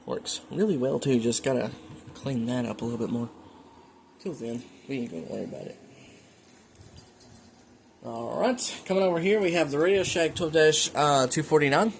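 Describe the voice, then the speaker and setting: A man's voice: medium pitch, moderate pace, creaky, long pauses. Folksy guy, noisy home recording. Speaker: hobbyist showing a collection. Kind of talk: casual show-and-tell narration. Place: indoors at home. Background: weather radios playing, background noise.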